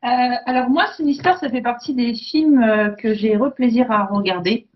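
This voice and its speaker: Woman gushing about a fave horror movie, lots of "euh", bit high voice, dull lo-fi audio. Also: normal speed. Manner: enthusiastic, hesitant with fillers. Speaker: film podcast co-host. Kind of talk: casual film discussion. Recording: dull lo-fi sound.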